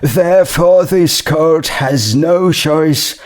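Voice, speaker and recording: A man voicing a stately male pony judge with pompous, measured pronouncements in a storybook reading. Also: a little high, voice pushed up. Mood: grave, pompous.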